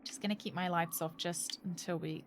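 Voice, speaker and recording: A woman doing casual live game commentary, excitable and playful, reacting aloud and swearing freely. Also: lowish voice, fairly quick, a little hiss or hum. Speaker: game streamer. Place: indoor room, live gaming stream.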